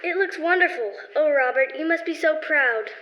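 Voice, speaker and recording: A woman's voice: high voice, moderate pace, slight background noise, lightly acted. A woman performing in an old-style radio play as its announcer and a supportive young wife. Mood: admiring. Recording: dull lo-fi recording.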